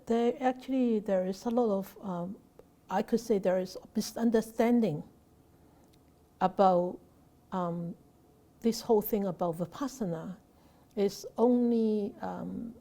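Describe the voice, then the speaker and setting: Mellow-voiced woman teaching Buddhist meditation. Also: medium pitch, measured pace. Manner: hesitant, many fillers and restarts; asks rhetorical questions. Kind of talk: unscripted teaching answer.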